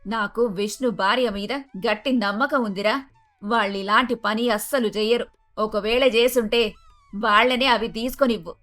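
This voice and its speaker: A woman playing an emphatic, emotional middle-aged mother-in-law in an animated moral story. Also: a little high, moderate pace, lightly acted. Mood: earnest, firm. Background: faint music.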